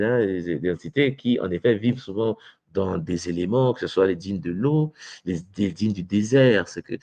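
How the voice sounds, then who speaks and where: Low-voiced man of Congolese origin lecturing. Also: gravelly, a little mellow, no room sound. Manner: frequent hesitations and rhetorical check-ins. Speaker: spiritual teacher. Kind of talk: lecture to followers.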